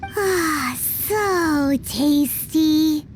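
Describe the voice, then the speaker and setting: Game role: excitable little floating fairy girl, squeaky, unhurried delivery, gushing, music underneath. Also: a little hiss or hum. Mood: delighted. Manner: speaks of herself in third person, exclamatory, gushing. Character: tiny excitable childlike floating fairy girl companion, food-obsessed. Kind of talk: video game character dialogue. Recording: music playing behind the voice.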